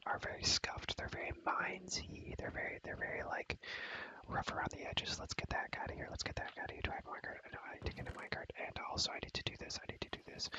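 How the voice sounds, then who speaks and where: A young man speaks in a breathy, unvoiced whisper, as in ASMR. He rambles casually through gameplay commentary with slangy wording, often repeating a phrase several times over. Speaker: ASMR video creator, gamer.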